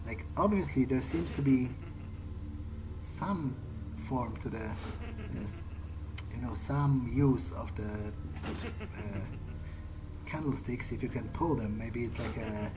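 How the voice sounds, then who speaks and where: A man's voice: mid-range voice, slow pace, pauses often, noisy background. A man giving casual, improvised video game commentary in a hesitant, wry, thinking-aloud manner. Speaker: let's play streamer playing in character. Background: game music.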